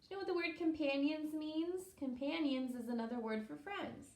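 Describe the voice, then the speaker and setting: A woman preschool teacher reading a story aloud to young children, expressively, pausing to explain and ask simple questions. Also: high voice, darkish tone. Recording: echoey room.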